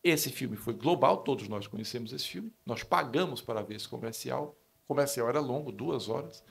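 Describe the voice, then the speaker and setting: A man's voice: medium pitch, animated, moderate pace, creaky. A man speaking as an advertising panelist, telling a story in a live discussion. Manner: storytelling, conversational. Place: stage at a public event.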